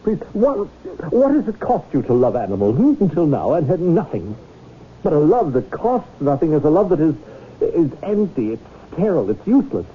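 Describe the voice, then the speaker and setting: An actor playing a fussy, indignant, pompous owner of a beloved animal, pleading theatrically in a 1970s radio drama. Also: male voice, on the high side, huge pitch swings, moderate pace. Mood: wounded, reproachful. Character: fussy, indignant, melodramatic male whale owner. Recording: noisy, tinny 1970s radio broadcast.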